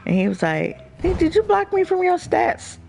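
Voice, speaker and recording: A man's voice: very high voice, wildly swooping pitch, moderate pace, creaky, a crowd in the background. A man chatting casually as a radio show co-host, telling stories in slangy, profane talk, with music playing behind. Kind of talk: casual chat.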